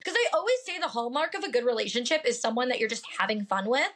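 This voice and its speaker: A woman's voice: on the high side, animated, fast, fairly bright tone. A woman in her late twenties with a nasal voice, chatting casually as a podcast guest, upfront and full of fillers. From Pacific Northwest. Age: late 20s. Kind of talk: casual chat.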